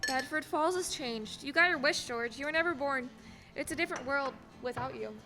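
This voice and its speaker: A woman's voice: high voice, brisk, music in the background, lightly acted. A young woman playing an eager, chatty, quaintly proper second-class guardian angel in a staged radio play. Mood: bright, chirpy. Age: teens to young adult. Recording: echoey hall, faint background noise.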